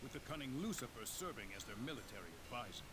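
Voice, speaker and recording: A man playing a grave planetary leader in a video game, speaking in a formal, measured, solemn way. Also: medium pitch, very animated, heavy background noise, lightly acted. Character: grave male leader of a high-tech planet, explaining past war. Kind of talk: scripted game exposition. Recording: game audio under streamers' chatter.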